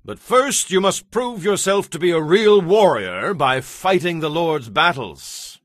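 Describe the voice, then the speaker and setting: A man's voice: a little high, animated, measured pace, no echo, clean studio sound. An actor playing a jealous, scheming king in a dramatized audio Bible, with a theatrical, emphatic manner. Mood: falsely gracious, commanding. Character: jealous, scheming king plotting a rival's death.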